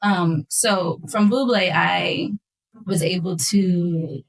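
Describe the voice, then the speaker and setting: A young woman from West Philadelphia, a singer guest, talking in a relaxed conversational way with frequent fillers. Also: on the low side, takes it slowly, vocal fry, rough recording. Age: 20s. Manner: relaxed, lots of fillers like um and you know. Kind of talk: casual conversation.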